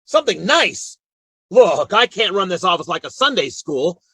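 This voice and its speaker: An American actor playing a gruff, stubborn frontier lawman in a western radio drama, terse and brooding. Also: sits high, very animated, moderate pace, a bit bright, dry recording, full character voice. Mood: exasperated.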